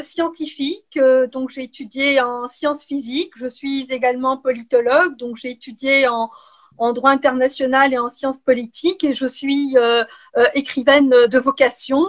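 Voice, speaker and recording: A middle-aged woman from Algeria answering interview questions in a measured way, with frequent hesitations. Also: high voice. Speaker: interview guest, writer and political scientist.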